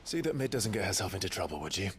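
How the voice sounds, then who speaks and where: An actor playing a grave young warrior leader in a video game, speaking in a measured, terse, resolute way. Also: male voice, low voice, sing-song, noisy background. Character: grave young male warrior leader, commanding, protective brother. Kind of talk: video game drama acting.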